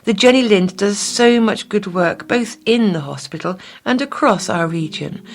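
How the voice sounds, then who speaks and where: A woman's voice: mid-range voice, moderate pace. A woman narrating a scripted feature for a talking newspaper, reading from prepared text.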